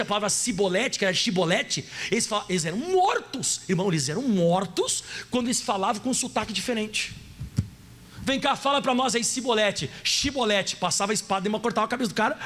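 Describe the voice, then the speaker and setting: A pastor from southern Brazil with a Gaúcho accent, preaching in an animated, playful way and putting questions to the congregation. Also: male voice, sits high. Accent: Gaúcho Brazilian Portuguese. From Rio Grande do Sul, Brazil. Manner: animated, playful, acts out voices and asks the audience questions. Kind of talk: sermon.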